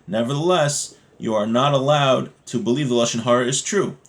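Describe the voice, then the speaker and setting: A man's voice: medium pitch, moderate pace. Man teaching an informal religious class. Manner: conversational, explanatory, with rhetorical check-ins. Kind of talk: informal lesson reading Hebrew text and explaining it in English.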